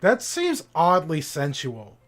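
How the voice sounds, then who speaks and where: A man's voice: fairly high pitch, wildly swooping pitch, slow, fairly bright tone. A man livestreaming a visual novel, casually commenting and reading the game text aloud, with game music behind him.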